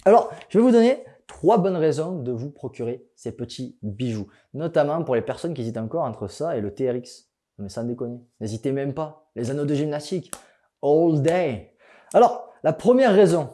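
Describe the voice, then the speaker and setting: A man's voice: medium pitch, mellow. A young man, a fitness coach, explaining gear in a casual vlog, chatty and joking, with many fillers.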